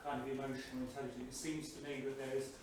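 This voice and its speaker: A man of average pitch offers a reflective comment from the audience, halting as he thinks aloud. He sounds distant in a highly reverberant room, with clear noise around him. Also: flat delivery. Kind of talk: informal, reflective discussion comment. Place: indoor event room, without microphone. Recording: distant, very echoey, noisy room pickup.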